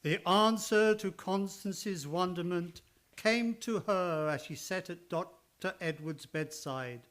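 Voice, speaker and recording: A man reading scripted narration and promos as a radio announcer, with deliberate theatrical delivery. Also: sits high, a bit bright. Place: radio studio, live performance.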